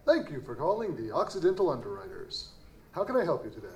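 A man's voice: on the high side, huge pitch swings, quick pace, darkish tone. A man playing a courteous, cautious company phone clerk in an audio drama, explaining things hesitantly. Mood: polite, helpful. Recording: noisy live stage recording.

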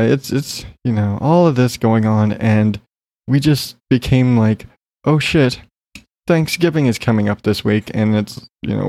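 A man's voice: lowish voice, warm tone, no room sound. A man talking in a casual solo podcast, rambling with lots of fillers and casual swearing, still congested after being sick. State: recovering from illness, congested.

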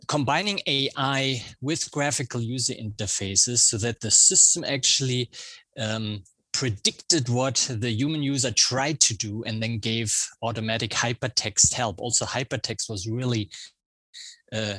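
A man's voice: medium pitch, bright, forceful tone, no echo. A man in his fifties with a German accent, a podcast guest talking through his career in a reflective, thinking-aloud way. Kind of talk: casual conversational interview.

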